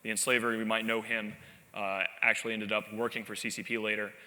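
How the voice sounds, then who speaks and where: A man giving a live conference talk, telling stories in an animated, wry way with self-deprecating asides, speaking from a stage in a large hall. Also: on the low side, a little fast. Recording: long reverb of a large hall.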